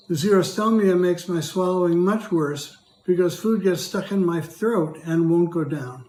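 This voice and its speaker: Hoarse older man, echoey room. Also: on the high side, darkish tone. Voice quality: hoarse, dry voice. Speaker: patient speaker. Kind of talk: prepared testimony read aloud.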